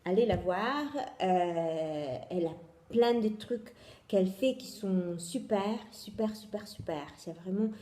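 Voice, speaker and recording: A woman's voice: lowish voice, slow, dark tone, echoey room. A woman from Italy with an Italian accent, hosting a casual knitting podcast and speaking French with many fillers and restarts. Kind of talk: casual video podcast chat. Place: home in Italy.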